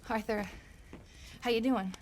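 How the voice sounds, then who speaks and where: A woman's voice: fairly high pitch, steady tone, unhurried, darkish tone, a little hiss or hum, natural voice. Woman acting an anxious, pleading frontier woman. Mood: worried. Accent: American. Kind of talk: cinematic western game acting.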